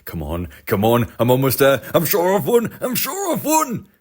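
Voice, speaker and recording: A man voicing a smug, hot-tempered male steam engine in a storybook reading, talking boastfully and shouting when angry. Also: a little high, wildly swooping pitch, normal speed, pitched above the performer's own voice. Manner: smug, then furious shouting.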